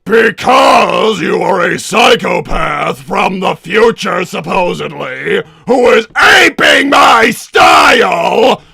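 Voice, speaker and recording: A man voicing an egomaniacal, scheming cartoon villain scientist in a theatrical, booming, shouting manner. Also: lively intonation, slow, gravelly, hardly any pauses, slightly rough, dry recording, exaggerated voice. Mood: menacing. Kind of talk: improvised character livestream Q&A.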